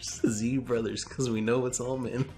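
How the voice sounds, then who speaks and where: A man's voice: mid-range voice, flat delivery, unhurried, music in the background. A man co-hosting a web series in casual, joking banter, rambling and at times mock-grandiose. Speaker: co-host of a web video series.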